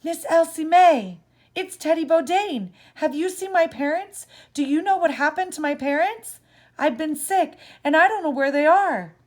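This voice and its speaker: A woman voicing a distraught, spirited young pioneer girl who pleads and then shouts indignantly. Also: high voice, animated, normal speed, pitched above the performer's own voice. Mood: pleading.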